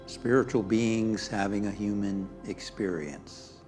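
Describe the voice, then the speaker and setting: A man with a fairly deep voice leads a guided meditation very slowly. He is calm and soothing, leaving pauses between short phrases and softly letting them fade. Faint music plays behind him. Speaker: minister leading a guided meditation. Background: background music.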